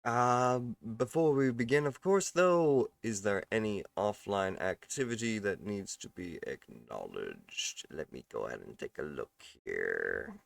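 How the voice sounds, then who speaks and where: A slightly raspy, under-the-weather man hosts a casual game stream, greeting his viewers with formal courtesy and frequent chuckles. His voice sits low but swings widely in pitch. The sound is dry and close. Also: no echo. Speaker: livestream host. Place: at home.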